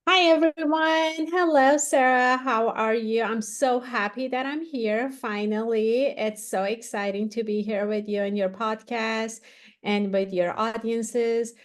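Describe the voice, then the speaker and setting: A woman's voice: a little high, fairly even intonation, unhurried. A woman, talking chattily with many fillers and restarts as an interview guest. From Iran. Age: 40s. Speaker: guest, activewear founder. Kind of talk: casual interview talk.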